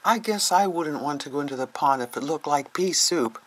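A woman playing an enthusiastic fifth-grade boy with a husky voice in a scripted puppet show. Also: deep voice, expressive, moderate pace.

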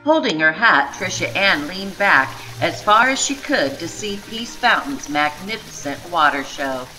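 A woman reading a children's storybook aloud with expressive, dramatic narration. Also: on the low side, measured pace, soft background music, poor audio.

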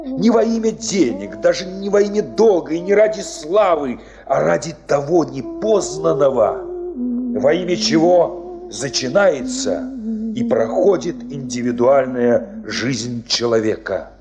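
A man's voice: on the high side, expressive, a little slow. A man giving solemn, declamatory literary narration in a 1970s Soviet radio drama. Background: orchestral music.

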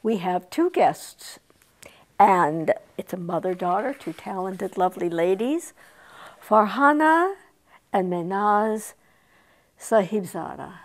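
A woman's voice: mid-range voice, animated, takes it slowly. A woman hosting a TV interview, asking friendly, chatty questions on a studio set with a lapel mic. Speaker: TV host. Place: studio set with armchairs and plants.

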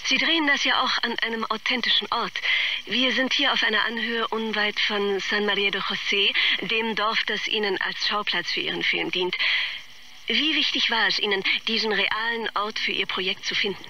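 A man's voice: sits high, fairly even intonation, bright, forceful tone, recorded from a distance, heavily acted, rough recording. An actor playing a probing, formal male reporter interviewing on location in a radio drama. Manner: formal, measured, pointed.

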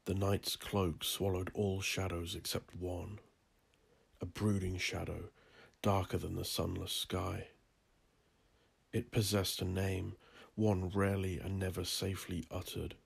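Deep gravelly guy reading a creepy horror tale, real slow and brooding, kinda husky. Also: flat delivery. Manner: slow, brooding, deliberate delivery. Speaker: narrator. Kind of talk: dark horror audiobook narration.

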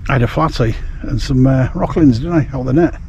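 A man's voice: medium pitch, normal speed, gravelly, a little mellow, a little hiss or hum. A middle-aged man gives a rambling, casual fishing update to camera, outdoors at night. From England. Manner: rambling, chatty, with many fillers. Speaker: fishing vlogger.

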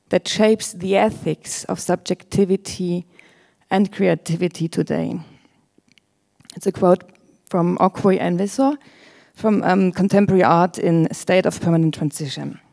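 A woman's voice: lowish voice, vocal fry, warm tone. A woman in her forties, a native German speaker with a German accent, giving an academic presentation into a handheld microphone on stage. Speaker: curator and theorist, panel speaker. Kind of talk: academic presentation, partly read from script. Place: auditorium stage with audience.

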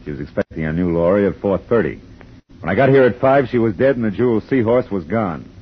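Sardonic private eye, lowish voice, terse, lo-fi 1950s radio. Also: male voice, expressive, fast talker, breathy edge. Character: wry hard-boiled male private detective. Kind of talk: 1950s radio drama acting and narration. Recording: dull lo-fi 1950s radio broadcast.

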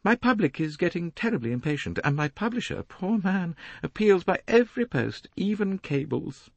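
A man doing a high, pompous older lady novelist. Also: sing-song, moderate pace, gravelly, dry recording, muffled recording, full character voice. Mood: grandiose. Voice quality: high, complaining voice. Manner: theatrical, self-important, emphatic. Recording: dry, close audiobook recording.

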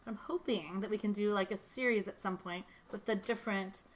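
A woman's voice: medium pitch, fairly even intonation, a little fast. A woman in her thirties hosting a virtual wine tasting, talking in a bubbly, rambling way with many fillers. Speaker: winery host. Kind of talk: casual chat, virtual tasting. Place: winery tasting room, indoors. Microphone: tabletop mic on stand.

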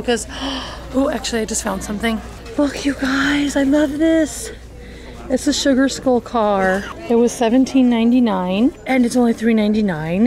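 A woman's voice: mid-range voice, a little mellow. Chatty woman vlogging while shopping. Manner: chatty, upbeat, reads out prices, frequent gasps and little laughs. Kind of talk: casual vlog walkthrough. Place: large indoor retail store. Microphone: handheld wide-angle camera at arm's length.

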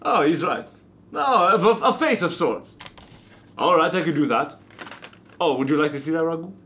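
An ancient vampire host with a Transylvanian accent, pitched high and swinging wildly up and down. The voice is bright and forceful. He is cranky, hammy and foul-mouthed, holding forth like the star of a comic Halloween show. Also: animated, normal speed, slight background noise. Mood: theatrical. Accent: put-on Transylvanian. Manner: hammy, ranting, profane. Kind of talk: comic in-character hosting.

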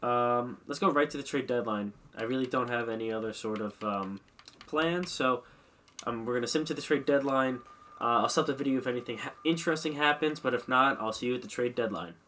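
A bright, forceful young man with a slightly stuffy, congested voice, chatting loosely at a desk microphone. He rambles, laughs at himself and swears now and then while clicking through menus, with keyboard typing faintly behind him. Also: medium pitch, fairly quick, a little hiss or hum. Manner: rambling, self-mocking, swears. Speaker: gaming commentator. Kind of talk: casual game commentary. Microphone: desk mic.

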